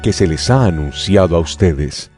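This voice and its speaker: Man, low voice, animated intonation, solemn scripture narration, measured delivery, music underneath. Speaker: Bible narrator. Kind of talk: dramatized scripture reading.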